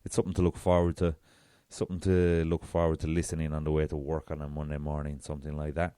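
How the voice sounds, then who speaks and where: An Irish man with a very deep voice hosting a solo podcast, chatty and self-deprecating. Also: monotone, fast, mellow, clear, resonant tone, dry recording, clean studio sound. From south of Ireland. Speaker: podcast host.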